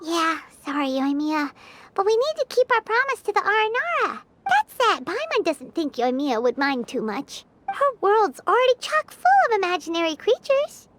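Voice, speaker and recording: A woman voicing a tiny, cheeky, helpful fairy girl in an anime game, squeaky and childlike, speaking of herself in the third person. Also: sing-song, normal speed, a bit bright, full character voice. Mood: chatty. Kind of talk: English anime game voice acting.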